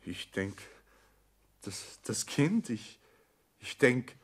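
A man's voice: mid-range voice, big pitch swings, drawn-out words, breathy edge, heavily acted. An actor playing an evasive, business-minded young man with a Bavarian accent in a radio play, matter-of-fact and detached. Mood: uneasy. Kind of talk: naturalistic radio play acting.

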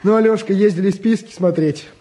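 A man plays a cheerful young student in an old radio play. He has a high male voice and a casual, offhand, easygoing way of talking. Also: a little fast, warm tone, lightly acted. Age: young adult. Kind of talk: mid-century Soviet radio drama acting.

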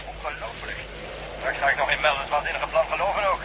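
Actor as high-voiced serious officer on radio. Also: male voice, quick pace, natural voice. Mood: businesslike. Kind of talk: Dutch radio drama acting. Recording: noisy radio transmission in drama.

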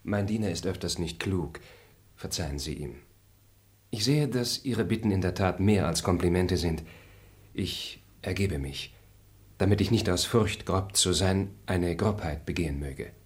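A courteous gentleman traveller. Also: low-pitched, measured pace. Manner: courteous, formal. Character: polite, modest young traveller, gentleman. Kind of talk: radio play acting.

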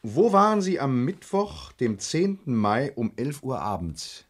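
An actor playing a persistent, probing police inspector in a German radio crime play, official and clipped in manner. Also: male voice, mid-range voice, very animated, measured pace. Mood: official, polite.

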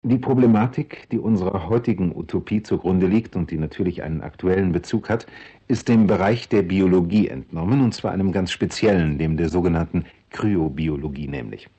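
Male radio presenter, mellow and husky, formal and explanatory, reading a measured 1960s-style introduction. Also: sits low, lo-fi sound.

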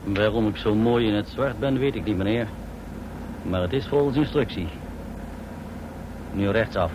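A man's voice: lowish voice, normal speed, noisy background, natural voice. An actor playing a dutiful, businesslike male undercover driver in a radio drama, speaking in clipped, matter-of-fact instructions.

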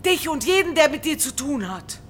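A woman's voice: sits high, very animated, normal speed, fairly bright tone, faint music behind. A woman playing an exasperated, agitated grown daughter in a radio drama, scolding and incredulous. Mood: angry, exasperated. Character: exasperated, hectoring grown daughter, near hysterical.

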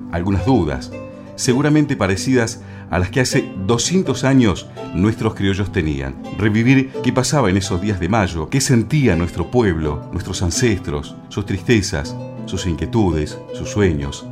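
A man with an Argentine accent narrating a scripted radio drama introduction in a solemn, measured manner. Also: sits low. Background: guitar music.

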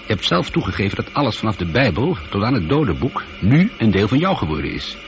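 A sardonic space pilot in his forties, with a lowish, gravelly voice that swings widely in pitch. He grumbles and teases in moody radio drama style. The recording is old, dull and noisy. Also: sing-song, fast talker, faint music behind. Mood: probing, uneasy. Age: 40s. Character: bored, irritable, teasing 42-year-old space colonel and pilot.

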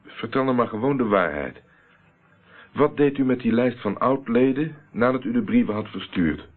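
An actor playing a shrewd, hard-nosed private detective in a radio play, questioning people in a condescending way. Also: male voice, mid-range voice, lively intonation, normal speed, close to an everyday voice. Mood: pressing, condescending. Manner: interrogating, condescending. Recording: muffled narrowband old radio play recording.

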